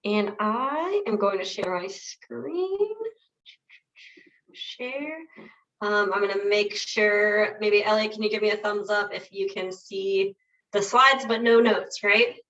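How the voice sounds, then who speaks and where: A woman with a nasal voice from a lingering cold presents on a webinar in a casual, friendly way. Her tone is bright, but the sound comes from a distance and is dulled by a video call connection. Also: medium pitch, echoey room, muffled recording. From Illinois. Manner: casual, friendly, quick asides. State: getting over a cold. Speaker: conservation director. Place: home with rural internet. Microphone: computer mic on a video call.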